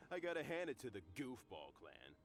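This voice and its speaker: A man's voice: medium pitch, huge pitch swings, moderate pace. A man voicing a sneering, bullying thug in a video game, mocking and laughing at his target. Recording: game audio with faint ambience.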